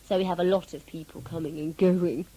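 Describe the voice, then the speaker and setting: A woman's voice: fairly low pitch, steady tone, brisk, dark tone, low-quality recording. A woman, an actress being interviewed casually about her work, with a jokey, self-mocking manner. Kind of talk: casual behind-the-scenes interview.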